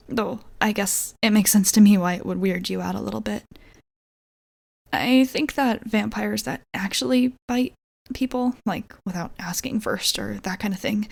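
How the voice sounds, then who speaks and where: A woman playing a shy, apologetic vampire roommate in an ASMR roleplay, speaking hesitantly and gently, often dropping toward a whisper. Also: mid-range voice, gravelly. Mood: awkward, explaining. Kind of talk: ASMR roleplay, softly acted monologue.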